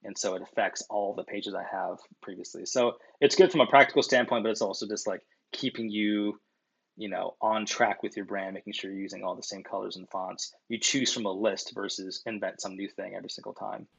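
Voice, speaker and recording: A man's voice: lowish voice, fairly even intonation, a little fast, gravelly, husky. A man in his thirties, a designer guest, chatting over a video call from his home office, with lots of fillers. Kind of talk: casual interview answers. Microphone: video call webcam. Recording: dull lo-fi video call audio.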